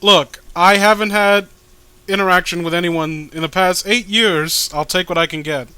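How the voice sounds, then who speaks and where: A lonely, earnest comic-book sweetheart of a guy, acted out by a man, gushing in a high, clear voice. He lingers on his words with lovesick sincerity. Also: steady tone, normal speed, bright, forceful tone, no echo. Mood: grateful, needy. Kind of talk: dramatized comic reading.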